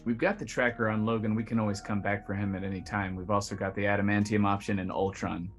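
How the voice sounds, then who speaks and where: A man's voice: on the low side, level tone, quick pace. A man playing a tabletop roleplaying game, chatting casually in and out of character with repeated words. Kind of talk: casual improvised in-character chat. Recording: music running behind the voice.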